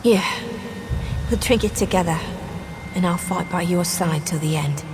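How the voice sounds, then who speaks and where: A woman's voice: on the low side, measured pace, natural voice. A woman playing a resolute, self-sacrificing elf village defender in a fantasy video game, solemn and commanding. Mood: soothing, grave. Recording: long reverb, loud background noise.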